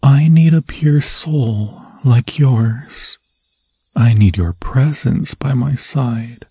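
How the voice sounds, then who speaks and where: A man voicing an obsessed undead forest demon in an ASMR fantasy roleplay, seductive and menacing, with a husky, breathy voice that often drops to a whisper and slow, theatrical, intimate phrasing. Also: medium pitch, dark tone, no room sound, full character voice. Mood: ominous, possessive. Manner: slow, theatrical, intimate, crooning endearments in archaic formal phrasing.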